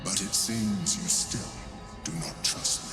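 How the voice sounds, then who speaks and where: Slow, echoing grave guardian. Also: male voice, low-pitched, over music. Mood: reproachful. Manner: measured, stern, formal. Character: grave, calculating male guardian and uneasy ally. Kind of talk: video game drama acting. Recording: echoing, long reverb.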